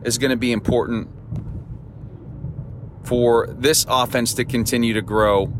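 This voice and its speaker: A man hosting a solo sports podcast, talking through upcoming games in an upbeat, chatty way, his voice temporarily husky. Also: mid-range voice, level tone, measured pace, noisy background. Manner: upbeat, chatty analysis with a cheerful sign-off. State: voice temporarily husky and lower than his norm. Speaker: podcast host.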